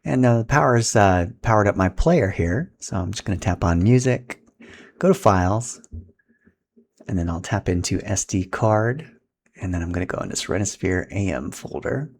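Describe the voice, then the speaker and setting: A man walks through a hands-on setup in an informal, instructional style. His voice sits low and has a gravelly, creaky edge. Faint background noise runs under the recording. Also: expressive, normal speed. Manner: informal, instructional, talking while handling equipment. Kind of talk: casual step-by-step tutorial.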